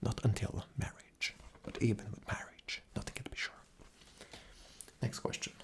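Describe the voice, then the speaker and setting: A man's voice: normal speed, lightly acted. Man playing an arrogant whispering boss. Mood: mocking, dismissive. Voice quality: breathy whisper. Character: arrogant, rude, dismissive male boss interviewing an applicant. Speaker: ASMR roleplayer. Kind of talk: whispered ASMR roleplay.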